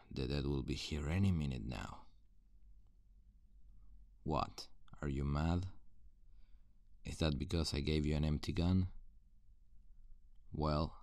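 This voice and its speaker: A man playing a gruff, cynical loner surviving an apocalypse in an ASMR roleplay, terse and guarded, with a gravelly voice. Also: a little mellow, no room sound, studio quality, full character voice. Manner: low, deliberate, terse and guarded. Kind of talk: ASMR roleplay acting, addressed to the listener.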